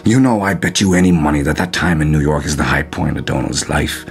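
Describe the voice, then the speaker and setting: A man's voice: very deep voice, brisk, vocal fry. An actor playing a bitter, scornful, brooding man from Cork, with an Irish accent, in a radio drama. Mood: bitter mockery. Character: bitter, scornful Cork-born man who escaped home. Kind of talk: naturalistic radio drama dialogue.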